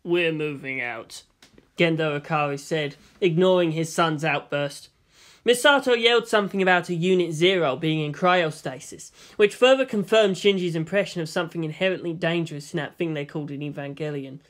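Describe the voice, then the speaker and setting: A narrator reading fiction aloud as a dramatic scripted narration, with a medium-pitched voice. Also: fairly quick, a bit bright. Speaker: story narrator.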